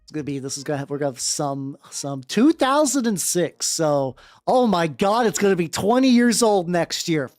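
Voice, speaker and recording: A livestream host with a very animated, swooping delivery and a mid-pitched voice, recorded dry and close. The talk is casual and rambling, full of slang, swearing and repeated phrases, with music playing faintly behind. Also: moderate pace, no echo. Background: background music.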